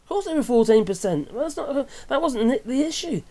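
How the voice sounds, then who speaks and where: A man's voice: very high voice, big pitch swings, brisk, a little mellow. A man in his fifties with an English accent, giving casual, opinionated commentary in a rambling, chatty way, often correcting himself. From England. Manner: rambling, chatty, with tag questions and self-corrections. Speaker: vlogger commenting on news. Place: small wooden cabin room with open window.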